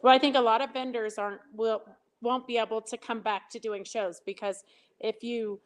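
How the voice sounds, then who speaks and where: A woman hosting a casual interview, chatty and quick to add encouraging remarks. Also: a little high, fairly even intonation, a little hiss or hum. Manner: chatty, lots of encouraging backchannels. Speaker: show host.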